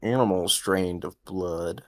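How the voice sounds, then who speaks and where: A man's voice: deep voice, takes it slowly, a little mellow. A man playing a tabletop game, reading case documents aloud in a casual way. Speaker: tabletop role-playing player.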